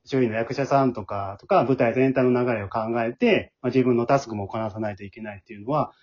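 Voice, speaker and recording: A man with a Kansai accent hosting a casual podcast chat, explaining things in a softened, conversational way. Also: medium pitch, brisk, muffled recording. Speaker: amateur theatre director and podcast host.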